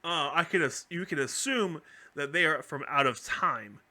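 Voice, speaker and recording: A man chatting casually as a podcast co-host, explaining things in an animated, rambling way. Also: a little high, normal speed. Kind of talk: casual chat, explaining fan theories about a TV franchise.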